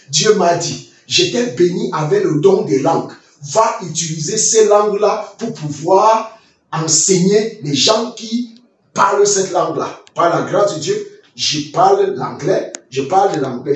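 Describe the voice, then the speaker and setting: A man from Nigeria delivers a Sunday school lesson like a sermon, with a fairly high voice. He picks his words with many repeats and restarts, slowing down for scripture. He sounds heavily echoey and set back from the mic. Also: recorded from a distance, slight background noise. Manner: repeats phrases and restarts, quotes scripture slowly. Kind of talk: sermon-style Bible lesson with personal testimony.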